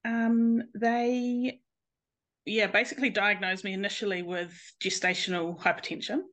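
A woman's voice: medium pitch, level tone, moderate pace, a bit bright. Frank New Zealand woman in her late thirties. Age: late 30s. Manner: open, frank, laughs easily. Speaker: guest, nurse and mother. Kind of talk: personal story in interview.

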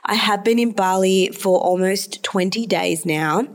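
A woman podcast host and psychologist explaining a topic in a solo episode, her voice a bit hoarse and nasal from a sore throat. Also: medium pitch, unhurried.

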